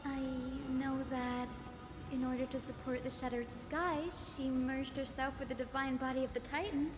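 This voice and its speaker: Woman as a high-voiced, resolute young healer. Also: level tone, normal speed, lightly acted. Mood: earnest, resolute. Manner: earnest, respectful, formal. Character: gentle, resolute young healer girl, noble descendant. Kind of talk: dramatic video game dialogue. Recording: echoing hall effect with music.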